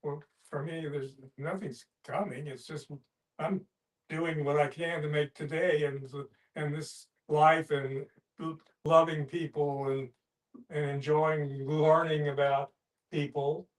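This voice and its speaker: A man talking reflectively in a group, in plain words that come out haltingly. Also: a little high, fairly even intonation, recorded from a distance, low-quality recording.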